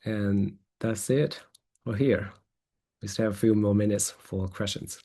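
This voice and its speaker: Man, webinar speaker, low-pitched, mellow tone, halting phrasing. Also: fairly even intonation. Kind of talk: presentation and question answering.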